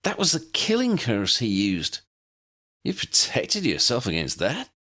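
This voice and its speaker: A man's voice: mid-range voice, wildly swooping pitch, unhurried, bright, forceful tone, voice pushed up, full character voice. A man voicing a laid-back, puzzled young wizard in a story, informal and wry. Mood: puzzled, impressed.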